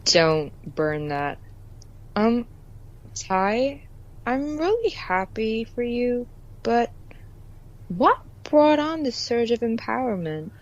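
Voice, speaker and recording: Woman as a slow, lively, high-voiced teenage girl. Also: muffled recording. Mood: curious, supportive. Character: supportive but uneasy rich teenage girl. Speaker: podcast guest. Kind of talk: amateur film scene re-enactment.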